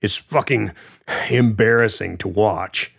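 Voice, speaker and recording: A man's voice: lowish voice, a little slow, voice pushed up. A man voicing a frustrated middle-aged male musician, earnest and exasperated, swearing when pushed. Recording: dull lo-fi recording.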